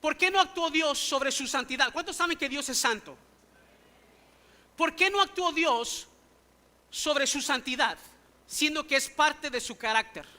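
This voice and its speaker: A man's voice: extremely high pitch, unhurried, pauses often, sharp tone. A male pastor preaching a sermon in a church, emphatic and full of rhetorical questions to the congregation, speaking in a language other than his native English. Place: church sanctuary.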